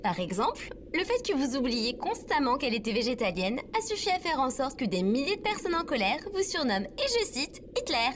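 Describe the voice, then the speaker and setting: A woman's voice: high voice, very animated, a little fast, hardly any pauses, slight background noise. A woman playing a sarcastic female AI robot assistant in a comedy audio drama, formal, precise and dryly mocking.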